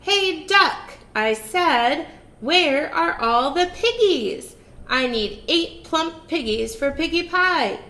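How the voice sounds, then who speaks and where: A woman voicing a grouchy, hungry old female witch who screeches and shouts frantically. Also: high voice, lively intonation, takes it slowly, pitched above the performer's own voice, heavily acted. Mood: shouting.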